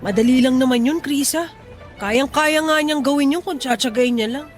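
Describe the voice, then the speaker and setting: A woman's voice: sits high, normal speed, soft background music, close to an everyday voice. A woman playing a blunt, joking tomboyish young mechanic in a radio drama. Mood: bantering.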